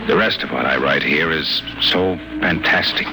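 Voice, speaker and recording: A deep-voiced wisecracking private eye. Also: male voice, normal speed, rough recording. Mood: grim. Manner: wisecracking tough-guy banter. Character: hard-boiled male private detective, wry and cocky. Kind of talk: 1950s radio drama acting and narration. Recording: old radio broadcast with loud music.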